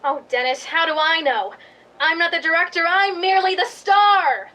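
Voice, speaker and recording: An actress playing an imperious, short-tempered film star with a haughty, snappish manner in a radio drama. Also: female voice, very high voice, moderate pace, pushed and bright. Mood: haughty, irritated. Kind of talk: theatrical radio drama acting.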